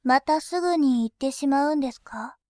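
A woman playing a dominating female bank clerk, high voice, unhurried, formal and deliberate, dry close sound. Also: dark tone, no room sound, close to an everyday voice. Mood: polite teasing. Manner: very formal polite speech, slow and deliberate. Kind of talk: adult audio drama acting.

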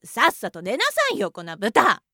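A woman's voice: a little high, wildly swooping pitch, normal speed, pushed and bright, no echo, studio quality, exaggerated voice. A woman playing a scornful, bossy young woman. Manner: contemptuous, mocking, bossy. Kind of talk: adult audio roleplay.

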